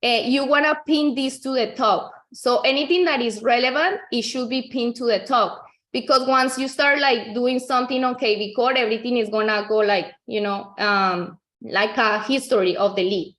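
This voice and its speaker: A woman from Colombia, a native Spanish speaker with a Colombian accent, giving an informal software tutorial in a conversational, explanatory way, often repeating herself. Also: fairly high pitch, steady tone, normal speed. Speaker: real estate agent giving a software tutorial. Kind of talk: informal screen-share tutorial.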